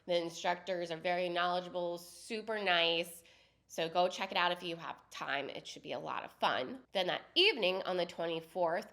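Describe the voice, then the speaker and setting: A woman co-host with a slightly low voice and a head cold talks through upcoming events. She chats loosely, adding small asides as she goes. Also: moderate pace, fairly bright tone. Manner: chatty, lists events and details conversationally. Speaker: podcast co-host. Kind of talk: casual podcast chat.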